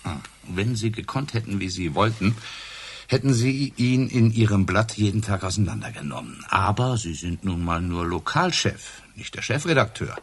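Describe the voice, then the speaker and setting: Casting: boozy police inspector for radio crime play, deep husky voice, sarcastic interrogator. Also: male voice, expressive. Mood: sardonic, accusing. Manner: sarcastic, probing, theatrical pauses. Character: hard-drinking, sardonic male police inspector, relentlessly accusing. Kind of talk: German radio crime drama acting.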